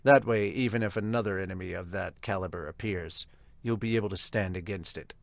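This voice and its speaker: A man's voice: sits low, a little fast, gravelly, dry recording, voice pushed down. A man voicing a laid-back, teasing young male hero with wings. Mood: sly.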